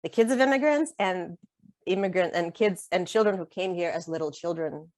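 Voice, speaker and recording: Woman, middle-aged, Russian native speaker; conversational author guest, lower register, dry close mic. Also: normal speed, no room sound. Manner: chatty, many fillers and restarts, laughs easily. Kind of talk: conversational interview.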